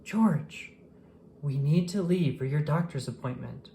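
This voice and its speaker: A storybook reader voicing a gentle, caring man who soothes and reassures his little companion. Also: mid-range voice, unhurried, slight background noise, natural voice. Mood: gentle, reassuring.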